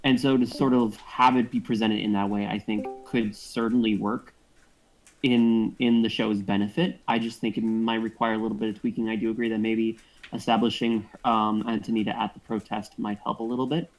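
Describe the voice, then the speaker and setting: Low male voice, measured, wordy, explanatory, casual group discussion. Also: level tone, low-quality recording. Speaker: table read participant giving feedback.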